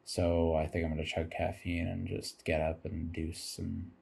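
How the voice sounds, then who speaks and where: A man's voice: sits low, level tone, normal speed, vocal fry, warm tone, breathy edge. A tired man giving rambling, casual commentary over game footage, musing aloud as he goes and swearing casually.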